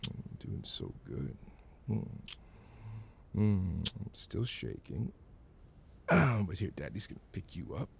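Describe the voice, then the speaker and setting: Deep gravelly man murmuring slowly. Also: big pitch swings. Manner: murmuring, sighing and moaning softly. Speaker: solo audio roleplay performer. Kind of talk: intimate whispered roleplay monologue.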